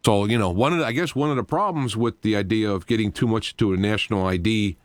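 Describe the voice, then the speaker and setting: A man with a low-pitched voice hosts a live talk radio show, recorded close and dry with no room sound. He speaks in an informal monologue that wanders into explanatory asides, working through his points as he goes. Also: quick pace, dry recording.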